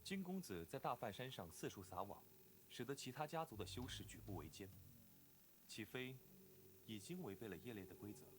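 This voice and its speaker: A man playing a polite, earnest young clan disciple in an animated fantasy drama, speaking formally and courteously. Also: on the low side, a little fast, noisy background, close to an everyday voice. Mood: reproachful.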